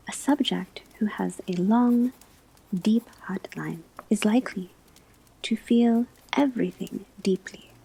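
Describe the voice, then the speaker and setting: A calm witch-school divination teacher, acted out by a woman, speaks in a very breathy whisper with a soft, mellow tone. The lecture is scholarly and deliberate, with unhurried pauses between phrases, in the style of an ASMR classroom roleplay. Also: mid-range voice, heavily acted, rough recording. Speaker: ASMR performer playing a teacher.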